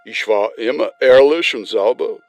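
An actor playing a suave, pleading teddy-bear dream lover in a radio play, theatrically tender and slipping in English phrases. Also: male voice, medium pitch, animated, normal speed, dry recording, soft background music, lightly acted. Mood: tender, earnest.

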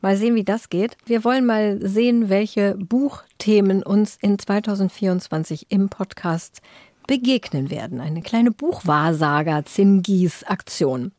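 A woman's voice: medium pitch, unhurried, mellow, no echo. Casual female podcast host, dry studio sound. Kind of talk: casual chat.

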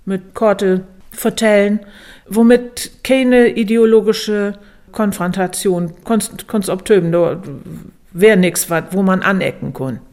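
A woman with a northern Low German accent, explaining informally as an expert in an interview. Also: takes it slowly, darkish tone.

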